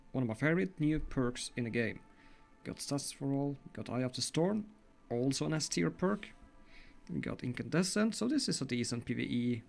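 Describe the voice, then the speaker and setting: Norwegian-accented man with a gravelly voice. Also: medium pitch. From Norway. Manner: chatty, walks through game loadout with frequent self-corrections. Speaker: gaming channel host. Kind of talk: casual gameplay commentary.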